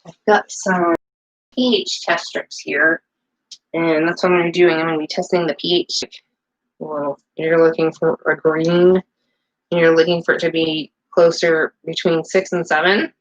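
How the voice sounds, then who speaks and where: A woman's voice: low-pitched, steady tone, moderate pace, highs cut off. A middle-aged woman from New England chatting casually in her home kitchen while she makes soap, rambling with lots of fillers. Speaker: soap maker and vlogger.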